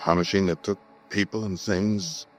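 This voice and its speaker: An actor playing a shaken male scientist recounting his ordeal in a halting, conversational 1940s radio monologue. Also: low-pitched, steady tone, close to an everyday voice. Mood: uneasy, brooding. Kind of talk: first-person 1940s radio drama monologue. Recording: noisy vintage 1940s radio broadcast.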